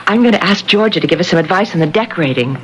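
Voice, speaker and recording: A lively radio-drama young wife. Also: female voice, fairly low pitch, rapid-fire, slight background noise, low-quality recording. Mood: eager. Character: enthusiastic young married woman, new homeowner, cheerful, observant. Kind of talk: 1970s American radio drama acting. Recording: vintage radio drama broadcast with music.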